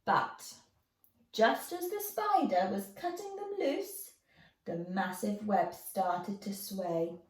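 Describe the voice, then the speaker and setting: A woman storyteller reading a rhyming children's tale in an expressive storybook style. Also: medium pitch, takes it slowly. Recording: roomy, echoey, distant mic.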